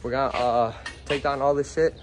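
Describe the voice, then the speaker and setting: A man's voice: medium pitch, fairly even intonation, brisk. Young guy vlogging on his phone outside, chill and slangy, lots of background noise. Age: young adult. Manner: laid-back, slangy. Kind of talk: casual vlog chat. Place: outdoors in a parking lot by a work trailer. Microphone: phone camera held at arm's length.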